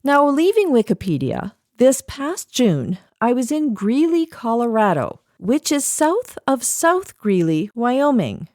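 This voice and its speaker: A woman's voice: on the high side, sing-song, unhurried, few pauses, a little mellow, no room sound, studio quality. A middle-aged woman presenting a scripted podcast segment, narrating with wry asides.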